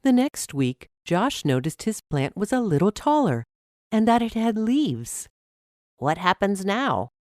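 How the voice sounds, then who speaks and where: A woman's voice: fairly high pitch, big pitch swings, measured pace, mellow, no echo, clean studio sound. A woman narrating a scripted story for children.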